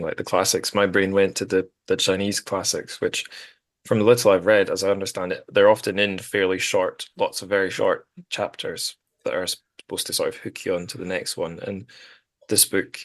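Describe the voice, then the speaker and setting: Deep-voiced male podcast host, close and dry. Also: flat delivery, moderate pace, no echo. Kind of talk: casual literary discussion.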